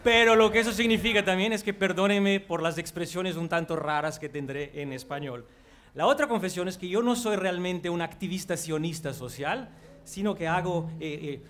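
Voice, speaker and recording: A man's voice: high voice, fairly bright tone, slight background noise. A man in his forties from Brazil, a native Portuguese speaker with a Brazilian accent, giving an earnest psychology lecture to a large auditorium on a headset mic. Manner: earnest, walks the stage while talking. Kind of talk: public lecture. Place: large auditorium before an audience.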